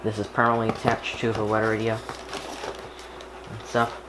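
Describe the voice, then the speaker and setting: Male unboxing vlogger, slightly low register, halting and casual, talking while handling gear; roomy, noisy camera recording. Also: monotone. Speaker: YouTuber unboxing and setting up a radio. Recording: echoey room with clear background noise.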